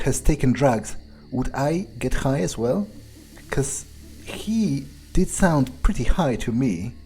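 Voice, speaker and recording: A man's voice: mid-range voice, mellow. A man playing a crude, cocky, irritable male vampire in a game, muttering profane asides to himself. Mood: musing. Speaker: game player in character. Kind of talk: improvised in-character game playthrough.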